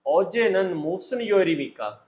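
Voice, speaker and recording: A man's voice: high-pitched, animated, normal speed, a little mellow. A man acting as a Korean exam interviewer, giving short instructional commands and set questions.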